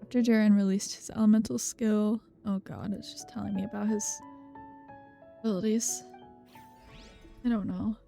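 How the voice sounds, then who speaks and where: Chill-sounding girl gamer doing a playthrough, real dark soft tone w lots of fry, woman. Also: medium pitch, steady tone, moderate pace, pauses often, soft background music. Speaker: game streamer. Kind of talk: casual playthrough commentary, reading dialogue aloud.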